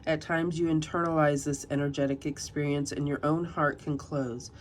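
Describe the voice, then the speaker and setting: A woman's voice: deep voice, flat delivery, slight background noise. A woman reading tarot cards and reading passages aloud from a guidebook, slightly nasal from a cold. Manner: soft, unhurried, reads passages aloud. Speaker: tarot reader.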